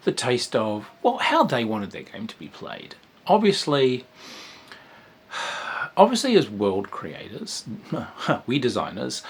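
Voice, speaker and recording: A man's voice: on the low side, slightly rough. A man, a game designer giving a casual spoken review of a tabletop game, rambling with frequent ums and restarts.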